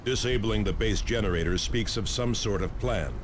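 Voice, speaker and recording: An actor playing a stern, dutiful space marine sergeant in a video game, formal and resolute. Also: male voice, moderate pace, natural voice. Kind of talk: dramatic video game acting. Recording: loud background noise and vehicle rumble.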